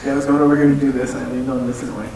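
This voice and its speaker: A young man thinks out loud in a dark barn, his casual speculation full of fillers. The camera catches him from a few steps off, with a roomy echo and a heavy hiss of noise behind his words. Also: medium pitch, level tone, rapid-fire, distant mic. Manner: musing, speculative, loose with fillers. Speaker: paranormal investigator. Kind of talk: casual chat during a night investigation. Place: dark old barn at night. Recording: loud noisy echoey barn from off-mic.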